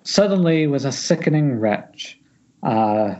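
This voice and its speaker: A man with a mid-pitched voice narrates stage directions in an informal, wry way. The audio is dull and lo-fi, like an internet call. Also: lively intonation, slow, darkish tone. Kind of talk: informal script table read narration.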